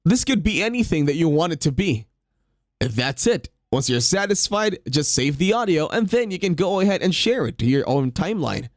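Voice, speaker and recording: A man's voice: fairly high pitch, sing-song, normal speed, dry recording, clean studio sound. A man hosting a how-to tutorial, narrating scripted steps in a sing-song instructional way. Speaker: tutorial host.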